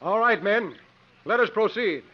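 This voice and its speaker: An actor playing a bold, self-assured strongman adventurer with a brisk, commanding manner in 1950s radio drama. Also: high-pitched, expressive. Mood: proclaiming. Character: bold, confident strongman showman, male adventurer. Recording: muffled, noisy 1950s radio broadcast.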